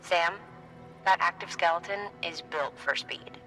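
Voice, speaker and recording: A man's voice: sits high, measured pace, sharp tone, faint music behind, low-quality recording. An actor playing an upbeat male mission adviser in a video game, explaining gear to a courier. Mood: enthusiastic briefing.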